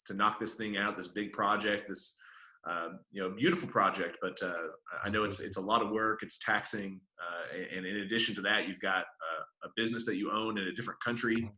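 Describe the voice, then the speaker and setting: A man hosts a relaxed interview and heaps praise on his guest. His voice is fairly low but sharp and forceful, and he leans on lots of fillers. The room adds a clear echo. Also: a little fast. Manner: affable, praising, filler-heavy. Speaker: host. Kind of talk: casual interview chat.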